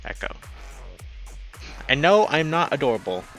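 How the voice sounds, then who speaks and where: A man hosting a game livestream, chatting casually and excitably with his viewers. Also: a little high, a little slow, soft background music. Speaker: game streamer and host. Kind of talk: casual livestream chat while playing a visual novel.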